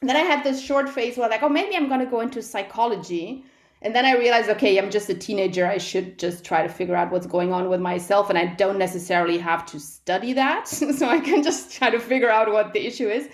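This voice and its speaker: A woman's voice: mid-range voice, a little fast. Middle-aged woman guest, thoughtful and candid, recorded in a room with noticeable echo. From Italy. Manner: reflective, frequent restarts and quoted inner thoughts. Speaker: guest, medical professor. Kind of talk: conversational interview. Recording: echoey room.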